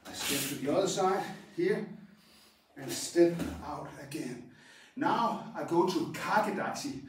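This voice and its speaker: A man with a fairly high voice walks through karate stances while talking, in a plain and halting way with pauses between moves. He stands well back from the camera in a large dojo. The room rings with a strong echo. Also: animated, noisy background. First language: Danish. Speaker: karate instructor. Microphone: camera some distance away while he moves. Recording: very echoey hall, voice far from the mic.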